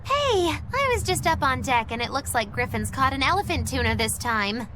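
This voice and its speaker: A brash female adventurer in a video game, high and animated. She sounds frank and casual, over clear background noise. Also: moderate pace. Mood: skeptical.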